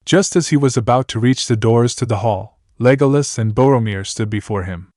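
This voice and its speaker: A man reads a story aloud at a middle pitch, steady and plain in delivery. The voice is recorded dry and close, with no room sound. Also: normal speed, no echo. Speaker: narrator. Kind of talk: reading fan fiction aloud.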